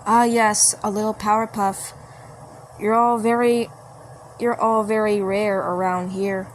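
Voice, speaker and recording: A woman voicing a kindly, gentle mushroom person who talks in a deliberate, halting way in a fan-made cartoon story. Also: medium pitch, level tone, a little hiss or hum, lightly acted, low-quality recording. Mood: fond. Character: kindly, unhurried mushroom person greeting a young guest.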